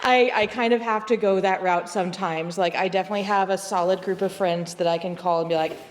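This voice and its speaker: A woman talks in a chatty, self-deprecating way, dropping quick jokes as she answers on a panel. Her voice sits at a middling pitch. A long hall reverb trails after her words. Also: fairly even intonation, slight background noise.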